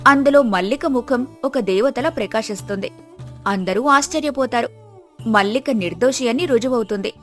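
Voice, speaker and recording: A woman's voice: fairly high pitch, a bit bright. A woman reading scripted narration of a moral folk tale as the storyteller.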